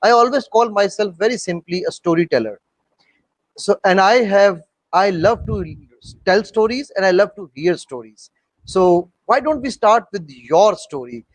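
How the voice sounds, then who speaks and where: A male host on a video call with a rather high voice. He leads into his questions in a rambling way, and his sound is close and dry. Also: expressive, normal speed, no echo, poor audio. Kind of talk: hosting a panel talk.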